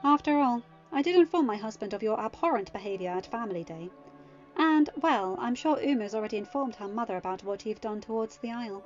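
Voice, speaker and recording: A woman voicing a composed goddess queen who speaks in a dry, measured, quietly cutting way. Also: a little high, expressive, lightly acted. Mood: cool. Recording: muffled audio with soft music.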